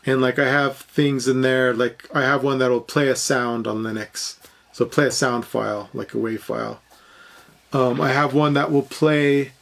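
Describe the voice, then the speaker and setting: A man giving a casual, unscripted explanation as a programming video host, halting often with lots of fillers as he thinks aloud. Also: medium pitch, creaky.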